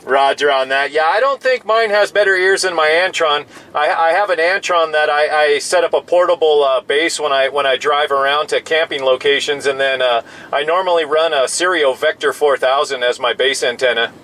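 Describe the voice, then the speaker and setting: A man's voice: on the high side, normal speed, few pauses, bright, forceful tone, slight background noise, rough recording. An American man in casual CB radio conversation, friendly and full of radio jargon. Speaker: radio operator. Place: in a parked vehicle outdoors. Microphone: CB radio microphone.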